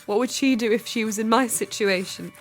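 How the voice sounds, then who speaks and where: A woman playing a sheltered, melancholy young Victorian gentlewoman in a halting diary monologue for radio drama. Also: on the high side, soft background music, lightly acted. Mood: wistful. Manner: wistful, halting.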